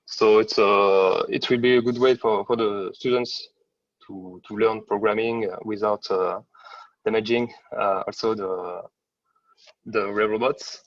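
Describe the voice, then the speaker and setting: Halting low-voiced man on a muffled distant mic. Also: fairly even intonation, no room sound. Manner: halting, many fillers and restarts. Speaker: webinar presenter. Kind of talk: software demo presentation. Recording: dull, distant, dry sound.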